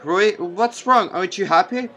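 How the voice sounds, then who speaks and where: A man voicing an earnest, easily flustered teenage schoolboy, the protagonist of a visual novel, in a halting manner. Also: high-pitched, sharp tone, pitched above the performer's own voice. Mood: pleading.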